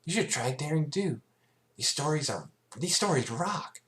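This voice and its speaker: A man's voice: fairly high pitch, expressive, normal speed, a bit bright, voice pushed up. A man voicing a bold, brash young female pegasus pony who talks with enthusiasm in a cartoon fan story. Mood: brash, keen.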